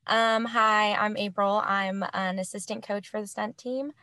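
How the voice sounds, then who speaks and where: A woman's voice: mid-range voice, steady tone, a little slow, bright, forceful tone. A woman in her twenties, an assistant coach, speaking casually on a group video call. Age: 20s. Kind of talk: casual self-introduction. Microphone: video call microphone.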